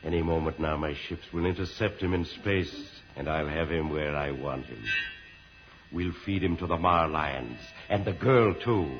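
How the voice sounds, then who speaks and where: A man playing an evil, gloating tyrant of Mars with a slow, menacing delivery in an old-time radio serial. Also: rumbling low. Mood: gloating menace. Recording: dull vintage radio recording, loud music.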